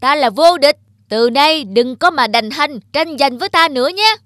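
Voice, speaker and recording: A woman's voice: sits high, expressive, normal speed, fairly bright tone, a little hiss or hum. A woman voicing boastful, quarrelsome roosters in a children's cartoon, with a theatrical, bickering delivery. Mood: triumphant, haughty.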